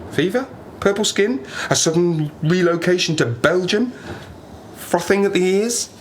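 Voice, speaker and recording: An actor playing a stranded Arctic survivor in an audio play, a sardonic, haunted man who talks haltingly and rambles in agitation. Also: high voice, animated. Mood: wry, rambling. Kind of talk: dramatic audio play monologue. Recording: noisy ambience behind the voice.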